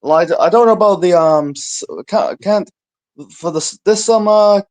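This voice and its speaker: A man's voice: sits high, normal speed, a little mellow. A man calling in to a live show, laid-back and rambling, with lots of fillers. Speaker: caller. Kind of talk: casual chat.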